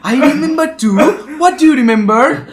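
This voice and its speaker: A man's voice: extremely high pitch, fairly quick. A young Indian-accented man playing a blustering, irritable old landowner father in an amateur audio drama, stammering and repeating himself. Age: young adult. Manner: stammering, repetitive, trailing phrases. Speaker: student actor.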